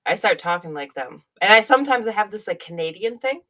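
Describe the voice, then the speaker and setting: A woman's voice: mid-range voice, lively intonation, fast, a bit bright. A woman from Massachusetts with a mixed New England accent, chatting casually as a podcast guest and laughing. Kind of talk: casual chat.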